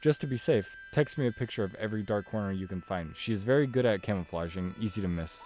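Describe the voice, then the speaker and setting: A man's voice: fairly low pitch, fast talker, darkish tone, soft background music, close to an everyday voice. A man voicing an eager, kindly young girl who loves animals, in a visual novel read-aloud. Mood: sweet, confiding.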